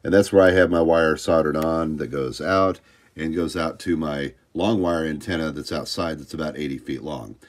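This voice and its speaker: A man's voice: very deep voice, moderate pace. An American man giving an informal hands-on tutorial, explaining step by step in a plain-spoken, folksy way. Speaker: hobbyist host of electronics tutorial. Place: indoors at a home electronics workbench.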